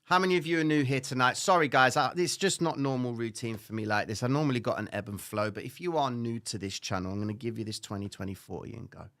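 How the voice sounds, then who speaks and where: A man with a Cypriot accent hosting a live trading stream, talking straight to viewers with frequent tag questions. He jumps between loud, animated lecturing and low, muttered asides. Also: quick pace, hardly any pauses. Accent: Cypriot English. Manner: casual, frequent "all right?" tag questions, occasional swearing. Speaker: livestream trading host. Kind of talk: live stream chat reading viewer comments.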